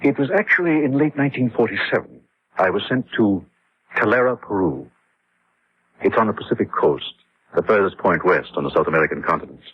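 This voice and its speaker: A man's voice: lowish voice, animated, brisk, husky. An actor playing a practical, guarded middle-aged male engineer, telling his story matter-of-factly in an old radio drama. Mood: reminiscing. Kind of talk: 1960s radio drama narration. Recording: dull old radio recording, music behind.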